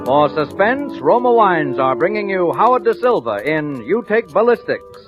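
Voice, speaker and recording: A man's voice: high-pitched, big pitch swings, normal speed. A man giving a dramatic scripted introduction as a 1940s radio program announcer. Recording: muffled vintage radio recording with music.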